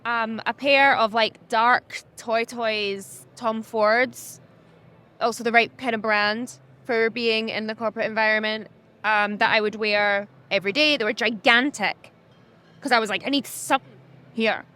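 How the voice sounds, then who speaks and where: A young woman with a high-pitched voice, talking candidly as a podcast guest, animated and laughing often. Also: a little slow, clear, resonant tone. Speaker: podcast guest, social media business owner. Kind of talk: candid conversational interview.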